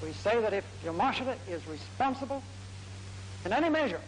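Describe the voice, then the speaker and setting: A man speaks as a prosecutor in a formal courtroom address, delivering measured, deliberate phrases on an old 1940s archive recording. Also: high-pitched, big pitch swings, slight background noise, low-quality recording. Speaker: military prosecutor.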